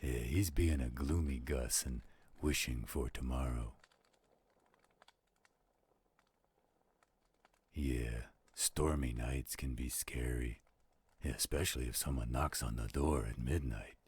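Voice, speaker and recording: Man voicing a very deep, husky, gruff werewolf. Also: a little mellow, full character voice. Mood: gentle, reassuring. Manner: slow, unhurried delivery. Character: gruff, gentle werewolf man, teasing and fatherly. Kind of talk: intimate roleplay audio drama.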